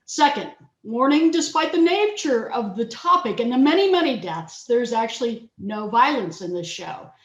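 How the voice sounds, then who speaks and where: Female reader, high pitch, scripted comic prologue; roomy, muffled call sound. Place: video call. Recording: dull, roomy video-call audio.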